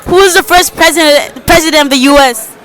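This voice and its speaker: A woman's voice: sits high, brisk, fairly bright tone. A teenage girl asking quiz questions as the host of a casual public interview, in an informal way. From United States, Texas. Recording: outdoor vlog with people chattering around. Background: faint crowd chatter.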